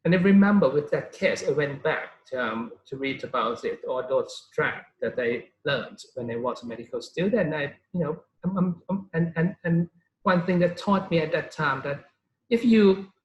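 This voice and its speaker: A man's voice: a little high, normal speed, echoey room, highs cut off. A middle-aged man from Thailand, a neurologist answering interview questions with frequent "you know" fillers and restarts.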